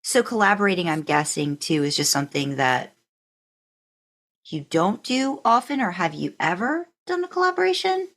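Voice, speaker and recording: A woman's voice: on the low side, sing-song, moderate pace, a bit bright. A woman co-hosting a podcast, asking questions in an informal, encouraging, chatty way. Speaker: podcast co-host. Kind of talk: casual interview chat.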